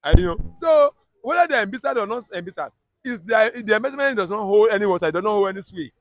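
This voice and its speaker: A man's voice: high voice, expressive, fast, dry recording. A man with a Yoruba Nigerian accent giving heated political commentary, emphatic and repetitive, often restarting his sentences.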